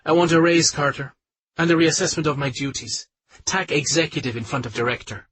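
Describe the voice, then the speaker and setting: A man voicing a brisk, ambitious female executive colleague who talks in a pushy, demanding way. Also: deep voice, breathy edge, dry recording, voice pushed up.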